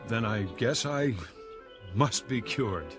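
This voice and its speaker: A man's voice: mid-range voice, slow, natural voice. An actor playing an anxious salesman in his thirties recovering from a breakdown, hesitant and stammering, in a radio drama. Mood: rattled, uneasy. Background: background music.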